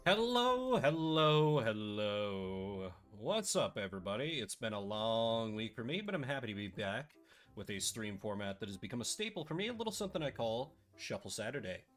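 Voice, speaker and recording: A man hosting a casual live stream, chatty and playfully sarcastic, his voice a little rough and scratchy today. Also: medium pitch, sing-song, normal speed, fairly bright tone, soft background music.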